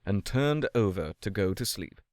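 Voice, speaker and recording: A man giving a measured, expressive reading of a classic ghost story as a scripted narration. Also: lowish voice, no echo, clean studio sound. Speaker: audiobook-style story reader.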